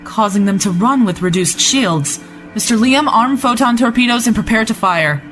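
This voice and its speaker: An actor playing a cautious, businesslike starship commander in a sci-fi audio drama, crisp and formal. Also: mid-range voice, animated, normal speed, fairly bright tone, faint music behind, lightly acted. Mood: wary, commanding.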